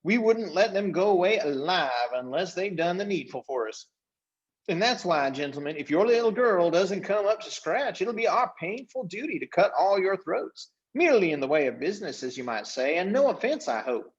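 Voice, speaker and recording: A man voicing a blustering, folksy invisible chief who rambles in rough rustic grammar, in a storybook reading. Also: high-pitched, very animated, normal speed, highs cut off, voice pushed up. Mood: rambling, matter-of-fact menace.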